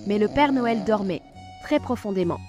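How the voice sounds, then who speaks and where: A woman narrating a children's Christmas story in a gentle storytelling manner. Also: medium pitch, animated, normal speed. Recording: music bed behind narration.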